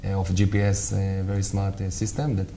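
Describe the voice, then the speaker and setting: A young man with an Israeli accent, a guest emissary who speaks haltingly with many fillers. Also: deep voice, level tone, creaky, dark tone, a little hiss or hum. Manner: hesitant, many uh fillers, simple phrasing. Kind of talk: interview on local talk show.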